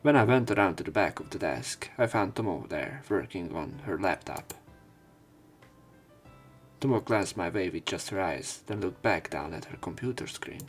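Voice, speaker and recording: Male let's play narrator, husky, wry casual asides between read passages, music behind. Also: sits low, expressive, normal speed. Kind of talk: reading visual novel text aloud with casual commentary.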